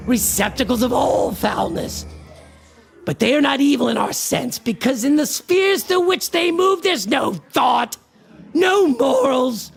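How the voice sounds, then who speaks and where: A man's voice: very high voice, creaky, full character voice. A male actor playing a frantic, obsessed occultist in a horror radio play, declaiming in a theatrical, grandiose style.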